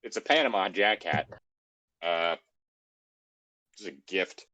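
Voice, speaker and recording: Male co-host with a low register; informal, teasing commentary, sweary, very little room sound. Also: expressive, takes it slowly, bright, forceful tone, no echo, dull audio. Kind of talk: casual banter.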